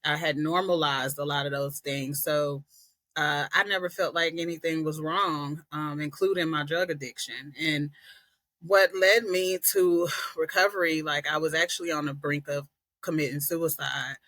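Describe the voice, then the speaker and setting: A woman from Atlanta, a podcast guest earnestly telling her personal story with frequent pauses and "um"s. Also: deep voice, fairly even intonation, bright, forceful tone, dry recording. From Atlanta, Georgia, US. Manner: earnest, reflective, many "um"s. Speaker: podcast guest, peer specialist.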